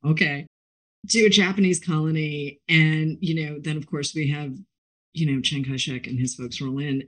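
A woman hosting a book interview, chatting enthusiastically in an effusive, expressive and rambling way. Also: low voice. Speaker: interviewer, book show host.

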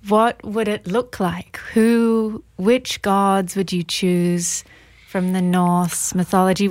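Slow-paced woman interviewer, dry close sound. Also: mid-range voice, darkish tone, dry recording. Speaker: podcast host, interviewer. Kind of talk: casual conversational interview.

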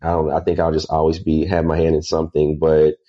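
A man in his thirties, a podcast guest, answering earnestly with pauses and "uh" fillers. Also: a bass voice, completely flat delivery, warm tone, dull audio. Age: 30s. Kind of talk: casual interview answers.

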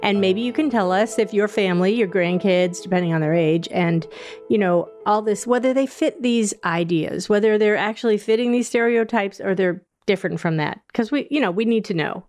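A woman's voice: medium pitch, a little fast, a little mellow, soft background music. A woman from New Hampshire hosting a podcast, asking friendly, curious questions. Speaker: podcast host. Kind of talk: casual interview chat.

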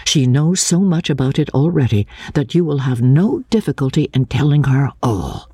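An earnest gentleman hero of a classic novel, voiced by a female reader. He speaks in a husky, dry-recorded voice with plenty of rise and fall, measured, coaxing and gently reassuring. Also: very deep voice, animated, dry recording, heavily acted. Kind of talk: dramatic audiobook character reading.

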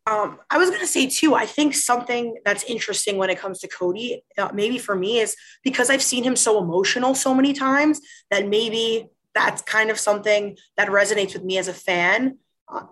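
Woman, co-host, somewhat high voice, hedging, casual. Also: fairly bright tone, low-quality recording. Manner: thoughtful, hedging, filler-heavy. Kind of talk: casual sports talk.

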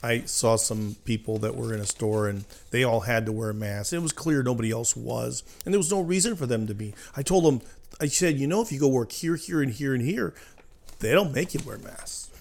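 American guy just chatting, gravelly creaky voice, mid pitch. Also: a little fast, a little mellow. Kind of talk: casual chat.

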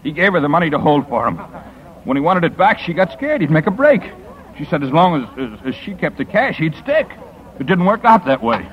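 A man's voice: fairly high pitch, very animated, a little fast. An actor playing a nervous, evasive man under interrogation in a 1950s radio drama, stammering and hesitant, on a dull old recording. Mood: defensive.

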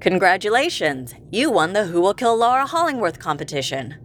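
A woman's voice: mid-range voice, sing-song, fairly quick, a bit bright, a little hiss or hum. A woman playing an exasperated, sardonic agency boss in a comedy audio drama. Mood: sarcastic.

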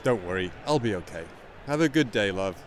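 A man's voice: fairly low pitch, animated, a little fast, slight background noise, lightly acted. An actor playing a lonely, stubborn old man in an old-style radio drama, with folksy, old-fashioned turns of phrase. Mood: gruff, reassuring. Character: lonely, stubborn, worried old man haunted by his past. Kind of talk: 1930s and 40s style radio drama acting. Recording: music playing over the voice.

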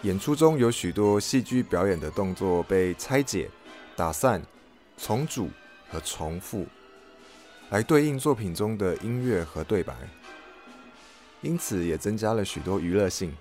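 A man's voice: fairly low pitch, animated, unhurried, a little mellow, faint music behind. A man hosting an audio log, reading a scripted first-person review as narration. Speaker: podcast host, reviewer.

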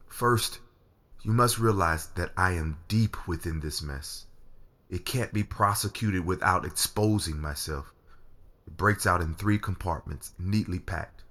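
A man's voice: low voice, fairly bright tone. A man playing an earnest lover who confides dangerous secrets in an audio drama. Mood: grave, confiding. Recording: music under the voice.

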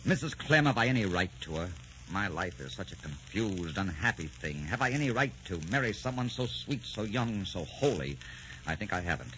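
An actor playing a tormented, brooding poet in love, anguished and halting in a melodramatic 1940s radio style. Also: male voice, low voice, big pitch swings, fairly bright tone, slight background noise. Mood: troubled. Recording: muffled 1940s radio recording with music.

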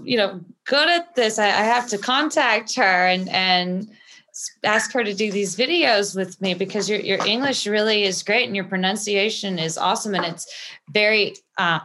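A woman interviewer with a bright tone. She asks her questions in an encouraging, friendly way and is quick to praise her guest. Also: mid-range voice. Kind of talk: casual interview.

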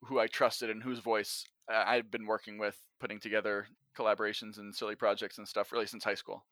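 A man's voice: on the low side, level tone, a little fast, bright, forceful tone, no room sound. A man talking casually as an interview guest, explaining his work as a writer in a conversational style. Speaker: podcast guest, audio drama writer.

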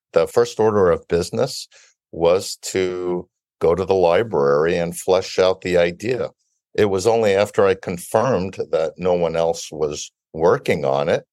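Deep-voiced older man from Brooklyn. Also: darkish tone, no room sound, clean studio sound. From Italy-born, raised in Brooklyn, New York. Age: 50s or older. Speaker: interview guest, biotech founder and CEO. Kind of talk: measured interview answers.

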